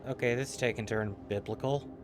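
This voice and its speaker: A male game streamer talks casually in a medium-pitched voice. He grumbles and swears as he comments, with clear game music playing behind him. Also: fairly even intonation, brisk.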